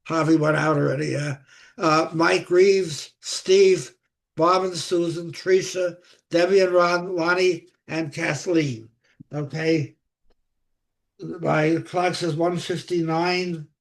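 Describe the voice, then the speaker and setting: A man organizing an online music circle, talking slowly and haltingly with many fillers as he reads out the order of performers over a video call. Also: fairly high pitch, fairly even intonation, vocal fry. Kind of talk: casual online meeting chat.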